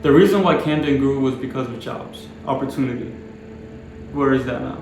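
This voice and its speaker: A young man in his twenties from the Dominican Republic, explaining things in a measured way in a documentary interview. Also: medium pitch, darkish tone. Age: 20s. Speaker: planning graduate and local resident. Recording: very echoey room with music behind.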